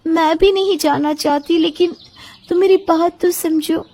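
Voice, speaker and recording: A very high-pitched, affectionate young woman from a storybook romance, acted out by a woman. She speaks coyly and earnestly, like someone lovelorn and gently pleading her case. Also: steady tone, measured pace. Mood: sad, pleading.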